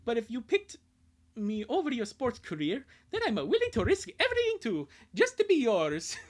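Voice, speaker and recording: A man's voice: very high voice, wildly swooping pitch, normal speed, pitched above the performer's own voice, exaggerated voice. A man voicing an earnest, lovestruck young cartoon character in a melodramatic, pleading way. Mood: earnest devotion.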